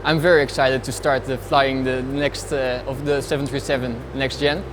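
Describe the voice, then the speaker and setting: A man's voice: medium pitch, little pitch movement, normal speed, noisy background. A man in his late twenties from the Netherlands, a pilot trainee giving a brief, simply phrased interview testimonial. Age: 20s.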